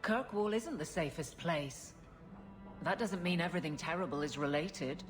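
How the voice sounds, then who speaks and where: A woman's voice: fairly low pitch, normal speed, music in the background, close to an everyday voice. A woman voicing a brusque, no-nonsense guard captain in a video game, blunt and dry. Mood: exasperated, firm. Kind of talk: video game dialogue acting.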